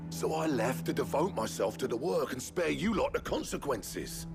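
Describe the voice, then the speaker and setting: A male actor voicing a proud, stubborn master blacksmith in a video game, with a working-class British accent and a gruff, blunt, gravelly delivery. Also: medium pitch, expressive, normal speed. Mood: heated, defensive. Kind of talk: dramatic game acting. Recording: loud music under the voice. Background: music.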